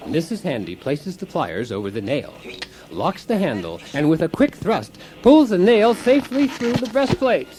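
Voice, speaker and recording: A man's voice: fairly high pitch, sing-song, normal speed, a little mellow. An actor playing a cheerful, accident-prone handyman husband who hosts a spoof do-it-yourself radio show, narrating like a mock-earnest instructor. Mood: calmly instructive. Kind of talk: scripted comic instructional narration in radio theater. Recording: clearly noisy, busy effects behind.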